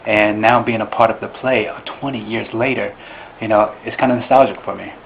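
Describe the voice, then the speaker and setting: A man from Indiana speaks casually and personally in a low, flat voice. The sound is dulled, with clear noise in the background. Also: a little fast, phone-quality sound. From Indiana, United States. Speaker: cast member being interviewed.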